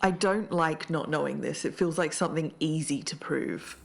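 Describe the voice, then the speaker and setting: A woman's voice: low-pitched, moderate pace, gravelly. A woman co-hosting an investigative podcast, moving between scripted narration and casual talk. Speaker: podcast co-host.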